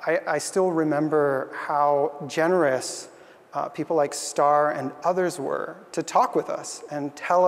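A man's voice: on the high side, big-room sound. A young man giving an earnest, thoughtful conference talk on a stage, with frequent fillers. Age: young adult. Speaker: conference speaker. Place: stage in a large hall.

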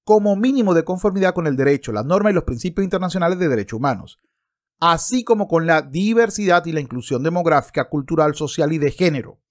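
A man's voice: on the high side, lively intonation, fast talker. A man in his thirties speaking emphatically and argumentatively, as in an opinionated video commentary, close to a desktop microphone. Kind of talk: opinionated commentary with passages read aloud. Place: book-lined room at home, indoors. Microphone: desktop microphone close in front.

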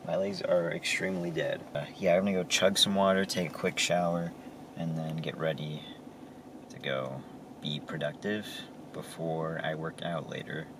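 A man's voice: very deep voice, moderate pace. A young man talking casually to the camera in a vlog, laughing often, on a hiking trail, in his car and at home. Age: young adult. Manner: laid-back, laughs often, talks to camera. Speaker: vlogger. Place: outdoor hiking trail, then car and kitchen. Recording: noisy outdoor camera audio.

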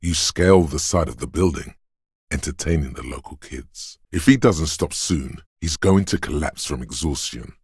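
An actor voicing the brooding inner voice of a detective's body in a video game, gravelly and husky, speaking gravely and deliberately with theatrical weight. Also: male voice, extremely low pitch, darkish tone, no room sound, studio quality, exaggerated voice. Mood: stern, weighty. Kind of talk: scripted game narration in second person.

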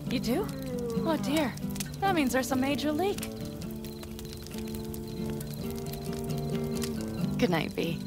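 An actress playing a fiery, strong-willed young nomad woman in a video game, with expressive, emphatic delivery. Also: high-pitched, normal speed, over loud music. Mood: soft, playful. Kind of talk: cinematic video game voice acting.

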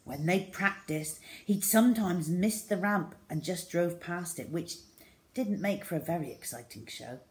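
A woman's voice: lowish voice, expressive, a little slow, a little mellow. A middle-aged woman reading a children's story aloud expressively. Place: small room indoors at home.

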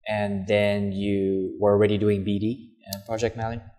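Young male host, Filipino English accent, lowish voice, brisk upbeat questions, lapel mic. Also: little pitch movement, dark tone. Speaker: interview host. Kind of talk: casual interview.

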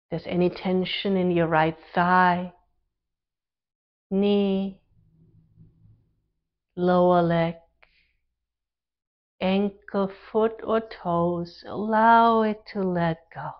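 A woman leading a guided relaxation meditation as an instructor, speaking calm, gentle instructions in short phrases with pauses between them. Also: fairly low pitch, dull audio.

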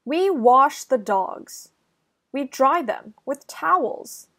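Young woman, children's story reader, high pitch, extremely unhurried, deliberate enunciation. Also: lively intonation, fairly bright tone. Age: young adult. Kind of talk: slow, clear children's read-aloud.

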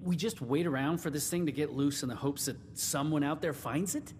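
An actor playing a somber, blunt and weary wasteland companion in a video game, speaking in a grave, measured way. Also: male voice, darkish tone, lightly acted. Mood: somber, resigned. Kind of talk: video game dialogue acting. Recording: reverberant game audio with rumbling noise.

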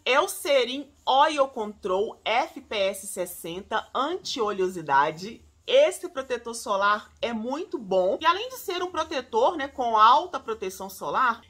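Lively bright-voiced woman in her forties. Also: high voice. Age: 40s. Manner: animated, chatty, often addresses viewers as "gente". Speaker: beauty vlogger. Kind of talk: casual product recommendations to camera. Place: home room, indoors.

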